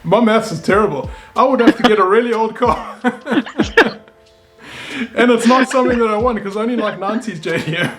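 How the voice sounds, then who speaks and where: A man's voice: sits high, normal speed, over loud music. A South African man in his thirties chatting casually about cars as a host. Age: 30s. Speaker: game show host and car YouTuber.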